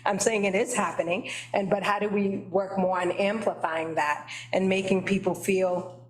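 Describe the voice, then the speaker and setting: A woman whose first language is Haitian Creole, speaking up at a committee meeting. She talks at a middle pitch, thinks out loud and keeps checking in with "right?" The sound comes from an echoey meeting room. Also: fairly even intonation, normal speed. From United States. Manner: thinking aloud, leans on tag questions like "right?". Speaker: library trustee. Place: meeting room around a table.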